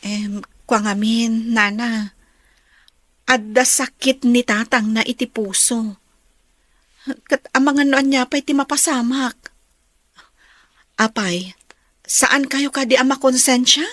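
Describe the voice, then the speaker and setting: A woman's voice: fairly high pitch, takes it slowly, pauses often, a bit bright, no echo. A woman acting a worried friend in an audio drama, with a Filipino Ilocano accent. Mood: worried, hushed.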